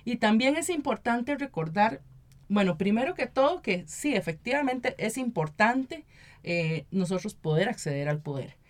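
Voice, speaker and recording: A woman's voice: a little high, animated, moderate pace. A Costa Rican woman giving earnest, explanatory interview answers as an activist, often checking in with short tag questions. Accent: Costa Rican Spanish. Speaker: interview guest, environmental activist and municipal council member.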